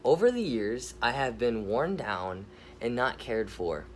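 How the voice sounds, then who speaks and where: Rose, a man doing a sad slow-talking rock. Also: mid-range voice, very animated, slight background noise. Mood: mournful. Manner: slow, wistful, mournful.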